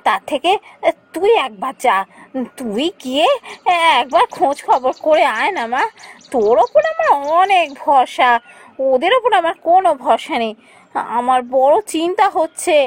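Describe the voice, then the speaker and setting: A woman's voice: high voice, very animated, normal speed, pitched above the performer's own voice. A woman voicing a scheming cartoon queen who pleads insistently and dramatically, feigning worry. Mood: pleading, anxious.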